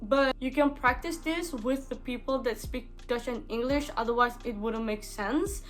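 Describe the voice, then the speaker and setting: A woman's voice: a little high, moderate pace, soft background music. A young woman from Indonesia with an Indonesian accent, talking casually to camera from a small bedroom, full of "like" fillers and restarts. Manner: chatty, many "like" fillers and restarts. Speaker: vlogger.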